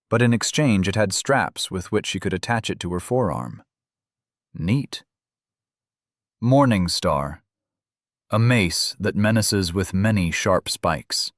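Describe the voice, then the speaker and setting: A man narrating a fantasy audiobook in a measured way, reading out game item descriptions. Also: lowish voice, no room sound, studio quality.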